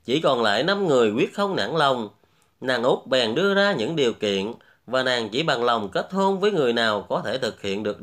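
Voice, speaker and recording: Male storyteller for scripted fairy tales, medium-pitched, very lively intonation. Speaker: storyteller, host. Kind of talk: scripted fairy-tale narration.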